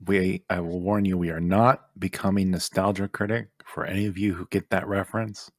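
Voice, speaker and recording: A man's voice: deep voice, little pitch movement, moderate pace, no echo, studio quality. A man co-hosting a podcast, giving opinions and bantering in a casual way. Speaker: podcast co-host.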